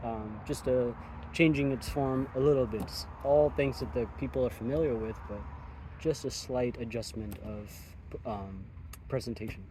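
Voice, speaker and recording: A young man from Ethiopia speaks in a measured, thoughtful way, often pausing with an um. He sounds like a chef explaining his cooking outdoors. Traffic noise runs clearly underneath. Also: mid-range voice. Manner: thoughtful, measured, with frequent ums. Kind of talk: casual interview chat outdoors.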